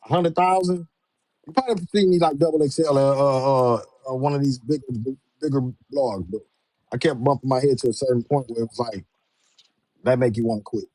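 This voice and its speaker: A man hosts a casual live interview in informal, slangy speech. His voice has an average pitch and sounds dry, with very little room around it. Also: a little fast, mellow, dry recording, poor audio. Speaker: show host.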